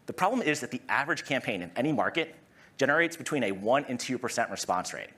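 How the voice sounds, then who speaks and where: A man in his thirties from Massachusetts with a Massachusetts accent, giving an energetic, conversational conference presentation on stage and often tagging "right?" onto his points. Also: medium pitch, quick pace, breathy edge. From Massachusetts, USA. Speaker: conference speaker. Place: conference stage before an audience.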